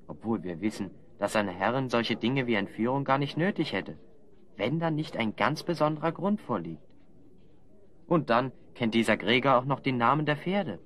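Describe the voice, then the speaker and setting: Animated, shrewd adventurer hero. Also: male voice, mid-range voice. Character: shrewd, self-assured adventurer hero, explaining clues. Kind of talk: German children's audio drama acting.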